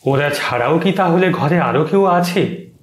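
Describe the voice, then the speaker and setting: A man's voice: on the high side, lively intonation, a little slow. A man narrating a scripted horror story in a dramatic, suspenseful way. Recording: roomy echo. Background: soft music.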